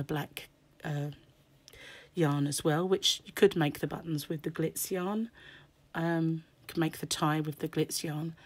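Woman, very low pitch, hoarse and croaky, casual crochet tutorial, chatty with fillers. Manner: chatty, many fillers, frequent throat clearing. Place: home table, indoors. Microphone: camera over hands, speaker off-screen.

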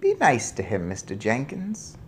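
A narrator voicing an old Norwegian grandmother who is calm, measured and mischievous, in a children's storybook. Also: medium pitch. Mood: matter-of-fact. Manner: measured, unruffled, matter-of-fact.

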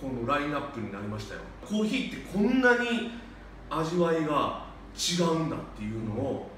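A man's voice: on the high side, big pitch swings, a little fast, a bit bright. A man, a café owner chatting animatedly and laughing often as he talks through a coffee tasting. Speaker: coffee shop owner and host. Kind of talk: casual chat during a coffee tasting. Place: small bright café seating area, indoors. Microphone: camera from a few meters away. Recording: echoey room, voice from far off, background noise.

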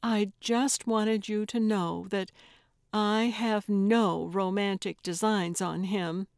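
Casting: fun-loving older grandmother played by a woman narrator, candid, slow-paced, mid pitch, audiobook dialogue. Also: steady tone, creaky, a little mellow, no room sound, clean studio sound. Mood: careful. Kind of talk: audiobook character voice.

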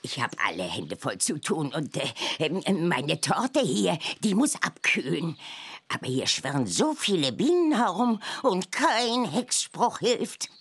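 A low, husky witch auntie in a children's cartoon game. She is fussy but friendly, drawing words out with big swoops of melody. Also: big pitch swings, a little slow, exaggerated voice. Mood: flustered, friendly.

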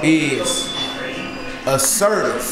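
Male host, slightly high voice, slow delivery, playful slang, swearing, echoey and noisy recording. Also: lively intonation, loud music behind the voice. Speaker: host and quizmaster. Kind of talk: casual vlog banter, hosting a spelling contest. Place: barbershop and outdoor back deck. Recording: echoey room, clear background noise.

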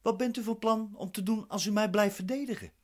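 An actor playing a dissatisfied defendant in a radio play, formal, assertive and politely cutting. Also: male voice, high voice, a little fast, darkish tone, soft background music, natural voice. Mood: defiant.

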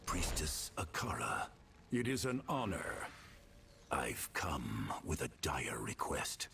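Deep, gravelly warrior hero. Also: male voice, sing-song, takes it slowly, sharp tone, a little hiss or hum, heavily acted. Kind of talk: video game character acting.

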